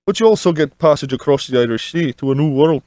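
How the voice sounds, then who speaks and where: A man voicing a crafty, smooth-talking Scottish sea captain in an audio storybook, with wheedling salesman's patter. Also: on the high side, lively intonation, fast talker, a little mellow, no echo, close to an everyday voice. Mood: sly, persuasive. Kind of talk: audiobook character dialogue.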